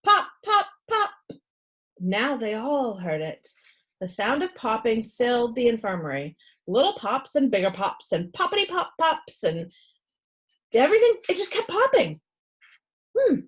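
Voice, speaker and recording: A woman reading a storybook aloud to children in an expressive storyteller's style. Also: on the high side, moderate pace, breathy edge. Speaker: read-aloud host and storyteller.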